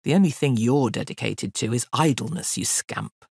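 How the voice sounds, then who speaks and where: A woman plays a proud master craftsman in a children's story. He is stern, lofty and dismissive, in a low voice recorded very dry. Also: expressive, moderate pace, gravelly, darkish tone, husky, no room sound, full character voice. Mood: lofty scolding. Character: stern, proud male master firework maker.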